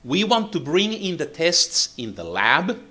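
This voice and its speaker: A man from Finland, a native Finnish speaker with a Finnish accent, giving a technical seminar lecture in English with frequent "uh, uh" pauses. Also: on the high side, huge pitch swings, bright, forceful tone. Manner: halting, many "uh, uh" fillers.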